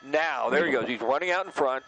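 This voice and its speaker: A man's voice: medium pitch, fairly quick, a bit bright. An American man working as an airborne news reporter, giving conversational, explanatory play-by-play on a breaking story. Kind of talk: live breaking news commentary. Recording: noisy outdoor vehicle feed.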